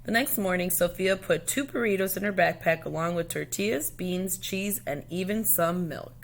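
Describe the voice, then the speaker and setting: A woman reading a children's storybook aloud in a deliberate, expressive way. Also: fairly low pitch, a little hiss or hum.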